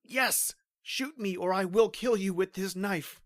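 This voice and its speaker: A woman's voice: mid-range voice, measured pace, no room sound, clean studio sound, lightly acted. A woman playing a stoic, protective male police officer in an old-time radio horror drama, brusque and melodramatic. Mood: desperate.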